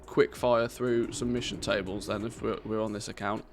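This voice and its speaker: Gravelly British man streaming a game. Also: on the low side, steady tone, normal speed, faint music behind. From Britain. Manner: chatty, answers viewer questions, laughs easily. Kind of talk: casual live-stream chat.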